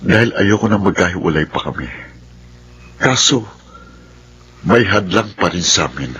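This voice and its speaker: A troubled man in love in a Filipino radio drama. His fairly low voice is earnest and heavy-hearted, with slight ambient noise behind it. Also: lively intonation, moderate pace, muffled recording. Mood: troubled, dismayed. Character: troubled devoted lover, anxious and weary.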